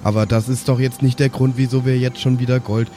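A man's voice: mid-range voice, little pitch movement, a little fast, mellow, a little hiss or hum, close to an everyday voice. A man playing a defensive, dim-witted fantasy gambler who stumbles sulkily over his words.

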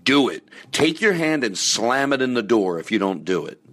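A man's voice: medium pitch, big pitch swings, normal speed, a bit bright. Goofy man hosting a comedy podcast. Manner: goofy, playful, earnest asides. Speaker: podcast host. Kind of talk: casual comedy podcast chat.

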